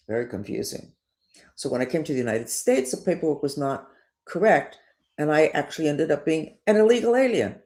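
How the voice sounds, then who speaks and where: An older woman, a spiritual teacher, talking in a digressive, emphatic personal monologue. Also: a bass voice. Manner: digressive, emphatic, lively up-and-down delivery.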